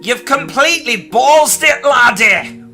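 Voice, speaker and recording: A gruff Scottish fireman in a comic bedtime story, put on by a man, with a broad hammed-up accent. He scolds loudly in a high, swooping voice over music. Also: lively intonation, slow, exaggerated voice. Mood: exasperated scolding. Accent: exaggerated mock Scottish. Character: gruff, exasperated Scottish railway fireman, hammy and mocking.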